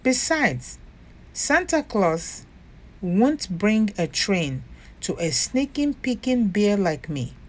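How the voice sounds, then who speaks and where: A woman voicing a young, anxious storybook bear in a small, plaintive, drawn-out voice. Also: mid-range voice, big pitch swings. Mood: sheepish. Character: young toy bear, childlike, anxious and sorry.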